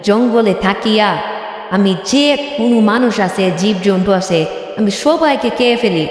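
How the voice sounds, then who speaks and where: A man's voice: sits high, unhurried, voice pushed up. A man voicing a fearsome man-eating female witch in a cartoon fairy tale, boastful and threatening, with an echoing effect on the voice. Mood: menacing. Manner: boastful, threatening, repetitive questioning. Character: fearsome man-eating female witch of the jungle, menacing but soft-hearted. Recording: echoey with long hall reverb.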